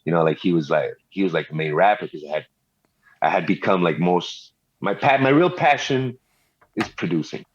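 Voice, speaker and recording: A man's voice: low voice, moderate pace, slightly rough. A middle-aged man from Montreal, a rapper and producer telling his story in a laid-back way, full of street slang and "you know.". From Montreal, Canada. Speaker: rapper and music producer, guest. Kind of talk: casual interview storytelling. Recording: slightly dull, lo-fi sound.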